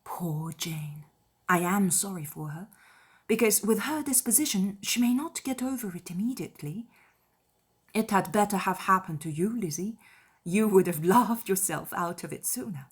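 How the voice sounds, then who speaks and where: A woman acting a breathy, sensible gentlewoman aunt. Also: medium pitch, lively intonation, normal speed. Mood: sympathetic, concerned. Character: Mrs. Gardiner, kindly sensible middle-aged gentlewoman aunt. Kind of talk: dramatized classic novel audiobook.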